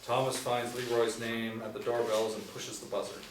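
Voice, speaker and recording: A man's voice: medium pitch, little pitch movement, normal speed. A middle-aged man reading scripted stage directions as the narrator. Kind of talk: scripted narration at a table read. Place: small indoor room, seated reading. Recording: echoey room, distant mic, faint noise.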